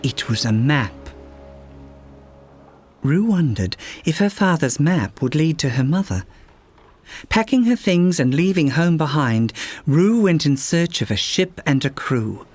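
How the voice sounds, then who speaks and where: A man reading a children's fairytale aloud as a storyteller, in expressive scripted narration. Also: on the high side, unhurried. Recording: music behind the voice. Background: music.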